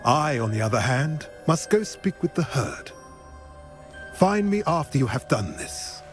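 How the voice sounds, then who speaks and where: A man's voice: a little high, sing-song, unhurried. A man voicing a stern, wise old centaur in a video game, speaking in a grave, commanding, formal way. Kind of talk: dramatic video game voice acting. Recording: loud music behind the voice. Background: music.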